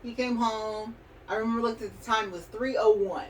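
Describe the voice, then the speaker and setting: A woman's voice: a little high, fairly even intonation, brisk, darkish tone. A young woman chatting casually and candidly about her personal life on a podcast, frank and slangy. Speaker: podcast co-host or guest. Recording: distant mic, noisy room sound.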